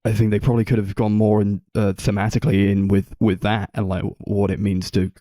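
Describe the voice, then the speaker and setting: A young man with a low-pitched, mellow voice talks casually as a film podcast co-host. He feels his way through his points hesitantly, and the sound is close and dry. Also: little pitch movement, fairly quick, no echo.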